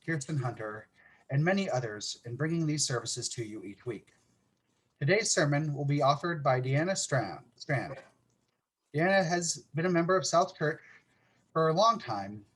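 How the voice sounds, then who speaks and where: Higher-voiced man giving a church welcome. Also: breathy edge, low-quality recording. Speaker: worship associate welcoming a congregation.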